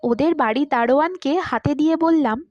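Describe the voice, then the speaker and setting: A high female voice narrates a literary short story with dramatic expression. The sound is dry and close, with a slightly dull, lo-fi finish. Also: normal speed, a little mellow, no echo. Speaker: story reader.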